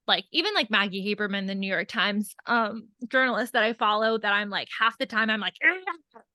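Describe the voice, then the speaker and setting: A woman's voice: mid-range voice, animated, a little fast, pushed and bright, dry recording. A woman co-hosting a podcast, talking candidly and conversationally with "like" sprinkled through casual chat. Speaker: podcast co-host.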